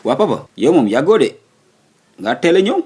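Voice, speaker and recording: A man's voice: a little high, sing-song, darkish tone, slightly rough. A man voicing a determined, evasive young son in a comic cartoon, with a Senegalese accent and an argumentative way of talking. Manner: argumentative, quick excuses.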